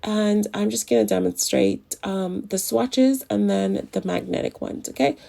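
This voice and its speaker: A woman's voice: medium pitch, unhurried, vocal fry, warm tone. A woman narrating a casual nail tutorial in a chatty, enthusiastic way, her voice a little off as it recovers from the flu. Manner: chatty, enthusiastic about products.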